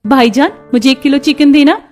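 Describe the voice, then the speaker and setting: A woman's voice: sits high, normal speed, darkish tone, faint music behind, close to an everyday voice. A woman voicing a young woman in a cartoon family story. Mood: chatty. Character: young women of a neighbourhood, sweethearts and daughters-in-law. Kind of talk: cartoon voice acting.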